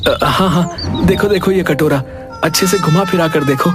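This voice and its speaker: An actor playing a quick-witted, earnest village husband in a children's cartoon. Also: male voice, on the high side, music in the background, natural voice. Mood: excited. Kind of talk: Hindi children's cartoon voice acting.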